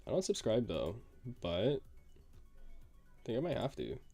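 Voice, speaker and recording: A man streaming himself coding, thinking aloud in a casual, halting way with many fillers, sounding a little nasal from a cold. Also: lowish voice, wildly swooping pitch, creaky, dark tone, soft background music. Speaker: live-streaming programmer. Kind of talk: casual live coding chat.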